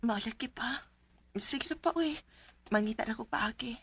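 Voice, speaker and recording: A woman's voice: fairly high pitch, moderate pace, close to an everyday voice. A woman with a Cebuano Filipino accent playing a weary but determined widowed mother in a radio drama, plaintive and emotional. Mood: worried. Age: 30s.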